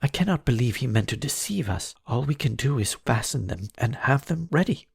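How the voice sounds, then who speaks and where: Man doing a gentle, brave young heroine, voice raised but still husky. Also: mid-range voice, lively intonation, a little fast, dry recording, studio quality, voice pushed up, heavily acted. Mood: earnest.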